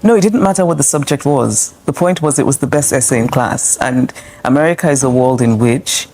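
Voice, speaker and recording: A woman from Nigeria telling a story in a measured, reflective way. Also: rumbling low, a little mellow, a little hiss or hum. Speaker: guest speaker. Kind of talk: reflective interview talk.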